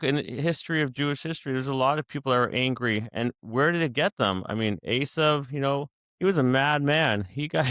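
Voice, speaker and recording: Smooth-voiced man musing on his day. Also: medium pitch, fairly bright tone, dry recording, clean studio sound. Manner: conversational, searching, frequent restarts and asides. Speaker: vlogger sharing daily reflections. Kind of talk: casual spoken reflection.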